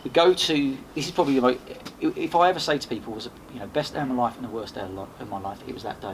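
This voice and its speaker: A man tells stories at a quick clip, animated and rambling, often restarting his sentences. His voice sits at a middle pitch. Steady background noise runs under the recording. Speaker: podcast host and guest. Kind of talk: casual storytelling chat. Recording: noisy background.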